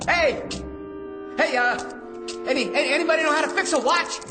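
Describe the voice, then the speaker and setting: A man's voice: very high-pitched, a little fast, over loud music. An actor playing a hapless ordinary man in a radio drama, cocky or frantic, stammering and chattering nervously. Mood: flustered, nervous.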